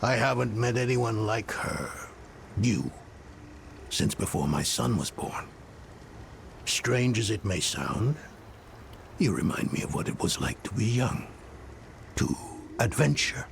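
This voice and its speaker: A middle-aged man playing a weary, grieving scholar in a fantasy game, halting and brooding, often muttering to himself. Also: fairly low pitch, sing-song, vocal fry, a little hiss or hum, full character voice. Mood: wistful, regretful. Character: grieving, weary older male scholar mending a relic. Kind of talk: dramatic fantasy game dialogue. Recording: dramatic music behind dialogue.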